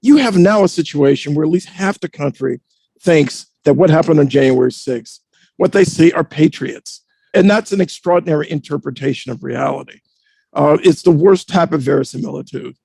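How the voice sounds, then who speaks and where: Husky guy on a panel, kinda high voice, picks his words slowly and carefully, stops and starts. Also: darkish tone, no room sound. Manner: deliberate, halting, measured phrasing. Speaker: reporter, panelist. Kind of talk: reflective panel discussion.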